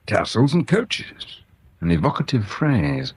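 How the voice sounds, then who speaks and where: Radio drama investigator, male: cultivated, musing, deliberate, low husky voice, very wide pitch swings. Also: huge pitch swings, full character voice. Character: pensive, cultivated investigator musing over clues.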